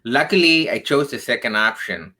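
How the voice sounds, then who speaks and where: A man from southern India speaks with a bright, forward tone at an ordinary middle pitch. He tells his story earnestly and with emphasis as an interview guest, often checking in with "right?". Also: expressive, normal speed. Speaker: guest, tech career coach.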